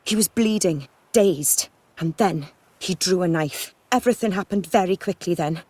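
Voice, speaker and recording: A woman acting a cool, worldly noblewoman in a fantasy game. Her voice sits slightly low and is recorded close and dry. She speaks with elegant, measured formality. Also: a little mellow, no room sound, natural voice. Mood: guarded recounting. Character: poised, worldly aristocratic lady, cool and self-assured.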